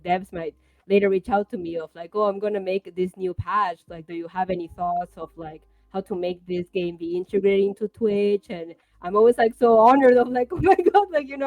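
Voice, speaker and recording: A woman's voice: mid-range voice, a little mellow, rough recording. A young adult woman streamer talking in a casual livestream chat, enthusiastic and rambling with many fillers. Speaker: streamer guest.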